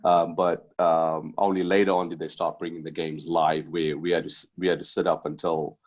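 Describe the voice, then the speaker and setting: A man's voice: deep voice, level tone, moderate pace. A middle-aged man from Zimbabwe, a basketball head coach, telling his story as a podcast guest, with many fillers and restarts. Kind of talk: casual interview chat.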